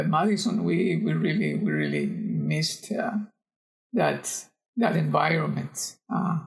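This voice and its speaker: An older man with a Costa Rican accent answers interview questions conversationally, pausing and searching for words. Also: a little high, gravelly, low-quality recording. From Costa Rica. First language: Spanish. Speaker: geography professor, podcast guest.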